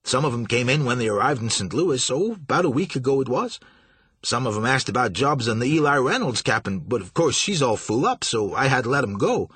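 A man's voice: medium pitch, sing-song, a little fast, fairly bright tone, breathy edge, no echo. A man voicing a flustered, nervous young shipping agent who stammers and fawns. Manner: stammering, fawning.

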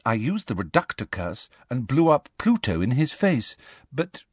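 A man voicing a soft, dreamy teenage girl with a hushed, gentle manner, in a fantasy audiobook. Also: medium pitch, very animated, unhurried, breathy edge, no echo, clean studio sound. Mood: hushed, sad.

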